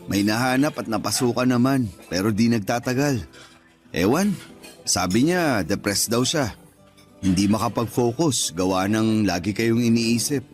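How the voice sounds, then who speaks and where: A man with a Filipino accent playing a calm, firm, concerned older brother in a radio drama. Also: mid-range voice, normal speed, soft background music, lightly acted. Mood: concerned, sympathetic. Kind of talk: Tagalog radio drama acting.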